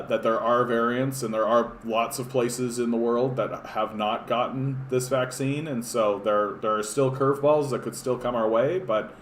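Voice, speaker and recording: A man's voice: medium pitch, slight background noise. Rambling sarcastic man in an echoey room. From Canada. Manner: rambling, frequent fillers and restarts, sarcastic. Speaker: political livestream host. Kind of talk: casual live-stream chat reading comments. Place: home room.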